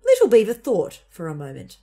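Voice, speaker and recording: A woman's voice: medium pitch, wildly swooping pitch, brisk, dark tone. A young woman narrating a children's story expressively as a storybook reader.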